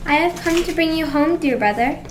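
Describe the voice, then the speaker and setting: A woman playing an affectionate, excited little girl in a radio play. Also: high voice, a little fast, a little hiss or hum, lightly acted. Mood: joyful. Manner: bright-eyed, excited, childlike delivery. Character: eager, affectionate little girl, young sister. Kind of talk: stage-style radio play acting.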